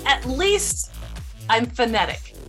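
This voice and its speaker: A woman with a Utah accent hosting a podcast interview, chatty and quick to laugh. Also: a little high, big pitch swings, music in the background. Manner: bubbly, laughs often, chatty. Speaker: podcast host. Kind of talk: casual interview chat.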